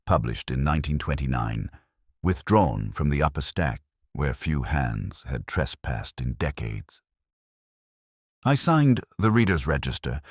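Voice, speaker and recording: A man with an extremely low voice narrates a literary mystery as a brooding, learned inspector. His delivery is solemn and measured, yet the pitch rises and falls expressively. The sound is close and dry, with very little room in it. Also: dry recording. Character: melancholy, erudite male English police inspector narrating his case. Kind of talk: scripted literary detective narration.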